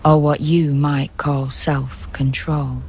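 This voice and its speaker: A woman narrating a poetic radio drama in a measured, hushed, deliberate way, as a grave observer of men sleeping rough. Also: deep voice, level tone. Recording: faint background noise and ambience.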